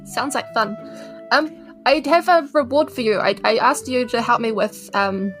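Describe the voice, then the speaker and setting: New Zealand woman, slightly high voice, casual role-play podcast player over a light music bed. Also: normal speed, a bit bright. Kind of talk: casual in-character game chat.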